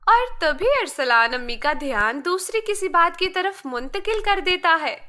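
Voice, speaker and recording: A woman's voice: very high-pitched, expressive, measured pace, sharp tone. A woman narrating a scripted moral story for children as a storyteller.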